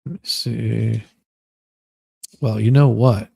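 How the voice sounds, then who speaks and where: Gravelly, theatrical man hosting a livestream. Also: fairly low pitch, steady tone, takes it slowly, darkish tone, no room sound. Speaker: livestream host. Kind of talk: casual livestream chat with mock-dramatic readings.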